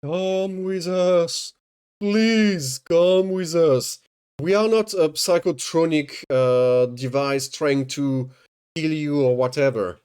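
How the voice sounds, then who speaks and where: A man's voice: a little high, slow, a little mellow, smooth. A man streaming, sarcastically mocking a video as he watches it, often stammering and swearing. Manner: sarcastic, swears, jokes and mocks; stammers and repeats words. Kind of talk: casual reaction commentary.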